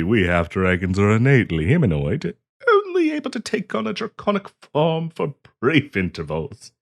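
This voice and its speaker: A man's voice: lowish voice, full character voice. A man playing a polite, hesitant half-dragon diplomat in a fantasy roleplay audio, genteel and eager to please as he hosts the listener. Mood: patient explaining. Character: polite, flustered half-dragon diplomat hosting tea in his cave.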